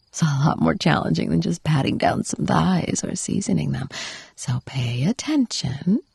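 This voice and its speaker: Woman acting a breathy, doting yandere wife. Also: sits low, darkish tone, no room sound, clean studio sound, exaggerated voice. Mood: fawning, affectionate. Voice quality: breathy, often dropping to a whisper. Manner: coaxing, cooing pet names, unhurried murmuring. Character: doting, possessive yandere wife, playful and teasing. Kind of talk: intimate audio roleplay addressed to the listener.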